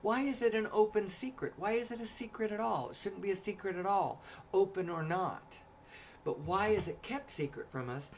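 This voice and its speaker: A woman with a hoarse, raspy voice giving a solo spoken monologue, pausing often to cough and clear her throat. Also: medium pitch, slight background noise.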